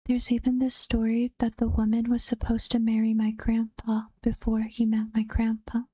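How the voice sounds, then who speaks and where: Soft whispering woman with flat, husky tone. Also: a little high, moderate pace, no room sound. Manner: half whispered, soft and close. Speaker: vtuber reading Reddit ghost stories. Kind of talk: soft-spoken ASMR story reading.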